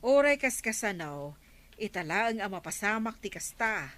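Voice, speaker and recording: A dutiful village councilwoman in an Ilocano radio comedy drama. She has a medium-pitched female voice and an Ilocano accent, explaining things in a practical, informative way. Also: very animated, normal speed, fairly bright tone. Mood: helpful, explaining. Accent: Ilocano Filipino.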